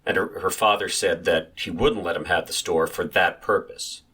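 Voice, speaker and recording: A deep-voiced police marshal, played by a man, testifies in a period courtroom drama. His voice is husky, and his answers are terse, formal and dutiful. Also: fairly even intonation, moderate pace, pushed and bright, full character voice. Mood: terse, matter-of-fact. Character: terse, dogged male police marshal on the witness stand. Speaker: actor reading a trial witness. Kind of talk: dramatic reading of an 1893 trial transcript.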